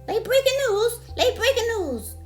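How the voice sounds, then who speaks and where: A woman's voice: very high voice, very animated, soft background music, pitched above the performer's own voice. A woman voicing a proud, folksy old grandfather groundhog making announcements in a children's storybook. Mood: announcing. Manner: announcing, deliberate.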